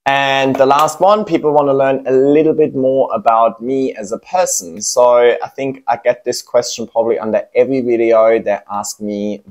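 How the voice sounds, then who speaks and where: A young man from Germany with a German accent touched by Australian English, talking casually to camera at home about himself and his plant care, with plenty of fillers and restarts. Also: medium pitch. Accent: German with Australian influence. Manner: relaxed, with many fillers and restarts. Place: home apartment.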